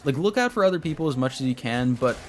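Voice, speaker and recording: A man's voice: medium pitch, expressive, fast talker, faint music behind. A young man streaming a game, chatting casually with his viewers in a rambling way while he plays. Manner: rambling, talks to chat while playing.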